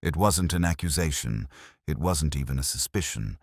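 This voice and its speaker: A man playing a reflective, observant police inspector who narrates a detective audio drama in a measured, literary, brooding manner. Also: very deep voice, lively intonation, dry recording, studio quality, full character voice. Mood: pensive, measured.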